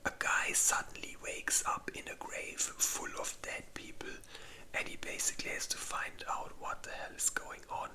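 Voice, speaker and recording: An ASMR host speaking in a breathy, unvoiced whisper, rambling casually and informally with halting pauses. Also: bright, forceful tone.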